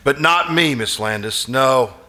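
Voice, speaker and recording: Man acting an anxious, haunted fellow, dramatically animated. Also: medium pitch. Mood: agitated, sleepless. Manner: halting, pleading, earnest and dramatic. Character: anxious, haunted man obsessed with a shadowy vision. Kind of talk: live old-time radio suspense acting.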